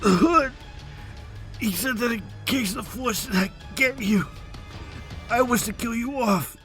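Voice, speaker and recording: A man's voice: very high-pitched, fairly bright tone, faint music behind, pitched above the performer's own voice. A man voicing a cowering hired gunman who pleads in a radio drama. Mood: pleading. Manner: pleading, hurried.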